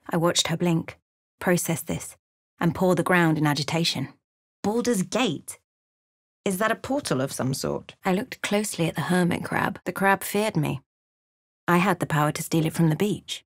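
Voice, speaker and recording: A woman playing a wry, watchful adventurer in a video game, telling of events in a measured, dramatic way. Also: lowish voice, lively intonation, dry recording, studio quality. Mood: sharp, wry. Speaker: game character voice actor. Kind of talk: video game voice lines, narration and barks.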